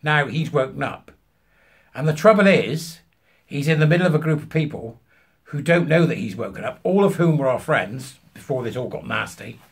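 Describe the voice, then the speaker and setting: A British man in his fifties telling an anecdote to camera, emphatically acting out both sides of a conversation in a husky voice. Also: a little high. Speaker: vlogger. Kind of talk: animated anecdote told to camera. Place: small furnished room at home, indoors.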